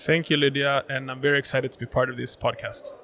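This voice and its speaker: A man's voice: mid-range voice, level tone, fast, sharp tone, slight background noise. A Swedish man whose first language is Swedish, a guest explaining robotics in an interview, with many fillers and repeated words. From Sweden. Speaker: guest, robotics sales manager. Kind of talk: conversational interview answers. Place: live event podcast booth.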